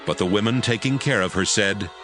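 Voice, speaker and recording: A man's voice: lowish voice, fairly quick, music in the background. A man narrating a dramatized audiobook as a scripted storyteller.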